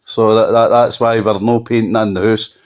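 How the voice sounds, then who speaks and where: Low-voiced Scottish man on a dull phone line. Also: level tone, smooth. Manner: halting, repetitive, emphatic restatements. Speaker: campaigner being interviewed. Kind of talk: unscripted phone interview. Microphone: telephone.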